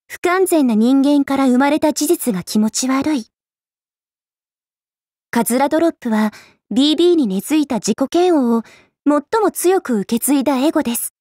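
A woman's voice: high voice, darkish tone, dry recording, clean studio sound. A woman voicing a composed, sly female AI in a game, explaining things politely and wryly. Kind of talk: Japanese game character voice lines.

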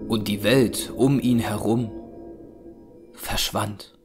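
Low-voiced male audio drama narrator. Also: steady tone. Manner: measured storytelling. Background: music.

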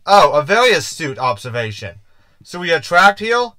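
A man plays a neurotic male hologram in a science fiction comedy audio play. His voice sits a little high and is recorded dry and close. He complains with sarcasm and fussy nerves. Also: big pitch swings, moderate pace, sharp tone, smooth, no echo. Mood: sarcastic, gloomy. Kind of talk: sci-fi sitcom audio drama acting.

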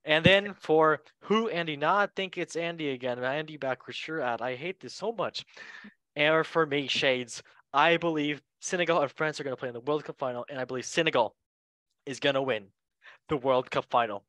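A man hosting a casual sports chat show in a jokey, excitable way, speaking English as a second language. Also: a little high, normal speed, no room sound. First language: not English. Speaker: show host.

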